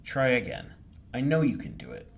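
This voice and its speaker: A patient male teacher from a children's storybook, acted by a man. He speaks in an encouraging, instructive way with lively rises and falls, over faint noise. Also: mid-range voice, normal speed, gravelly, voice pushed up.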